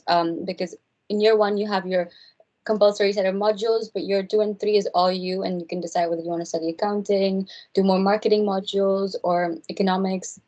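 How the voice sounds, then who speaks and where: A woman's voice: mid-range voice, darkish tone, low-quality recording. A young woman from India, a third-year student, presenting in a friendly, earnest way with some hesitant fillers. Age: young adult. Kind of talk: informal presentation.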